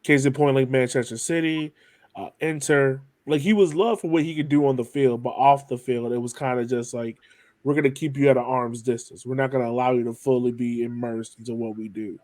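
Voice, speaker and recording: A man's voice: mid-range voice, steady tone, a little fast, darkish tone, dry recording. A man hosting a podcast in a casual, conversational interviewing style. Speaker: podcast host.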